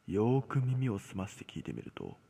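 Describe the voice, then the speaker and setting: A man telling a scripted horror story as narrator, measured, with dramatic pauses. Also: fairly low pitch, sing-song, creaky, warm tone, breathy edge. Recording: long cavernous reverb.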